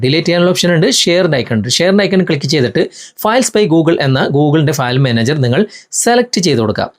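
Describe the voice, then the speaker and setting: Male tutorial host, Malayali accent, slightly high voice, very quick delivery, instructional and step-by-step. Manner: instructional, step-by-step, addressing viewers directly. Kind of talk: explanatory phone tutorial narration.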